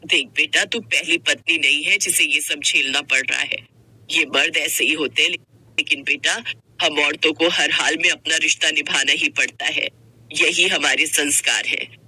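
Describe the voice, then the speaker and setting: A woman voicing a resigned middle-aged mother who lectures her married daughter in a slow, sighing way. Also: deep voice, steady tone, bright, forceful tone, breathy edge, voice pushed down, full character voice, low-quality recording. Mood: resigned, pleading.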